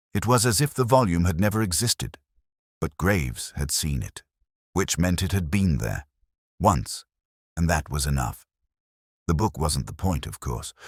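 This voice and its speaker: A man playing a weary, reflective older detective, narrating a crime drama in measured, solemn, literary phrases. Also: sits low, no echo, studio quality, heavily acted. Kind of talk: scripted first-person detective story narration.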